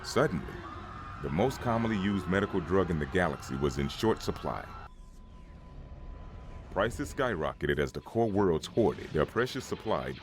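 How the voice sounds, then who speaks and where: A man's voice: sits low, lively intonation, normal speed, over music. A man playing a grave Jedi elder, solemnly narrating war history in a dramatic comic dub. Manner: solemn storytelling.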